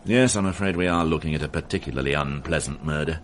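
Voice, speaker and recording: An actor playing a weary, dry-witted police inspector in a radio drama, clipped and mildly sardonic. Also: male voice, extremely low pitch, quick pace, slight background noise.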